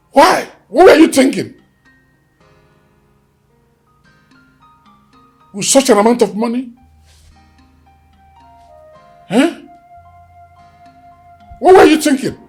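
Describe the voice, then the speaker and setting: A man's voice: fairly high pitch, very animated, takes it slowly, pauses often, husky, soft background music, heavily acted. A man playing an angry boss who scolds his staff for negligence in a comedy skit. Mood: stern. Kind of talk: comic skit acting.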